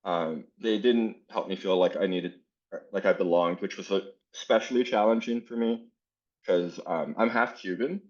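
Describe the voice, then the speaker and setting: Low-voiced man leading a teacher workshop. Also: moderate pace, muffled recording. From South Florida. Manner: casual, many fillers, earnest. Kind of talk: conference workshop presentation.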